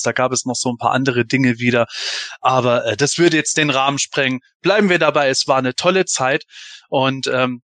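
A man's voice: on the high side, fairly bright tone, no echo. A man hosting a fan podcast, moderating in a casual, conversational style.

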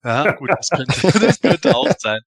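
A man's voice: on the high side, very animated, a little slow, breathy edge, no room sound, studio quality. A man hosting a casual live fan podcast in a chatty, bantering way. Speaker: podcast host.

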